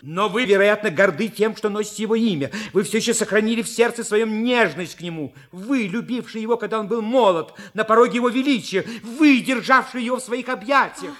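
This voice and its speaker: Radio comedy: pushy young magazine reporter, very high male voice, flowery and effusive. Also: fairly quick, few pauses, fairly bright tone. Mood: reverent, gushing. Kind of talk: theatrical radio play acting.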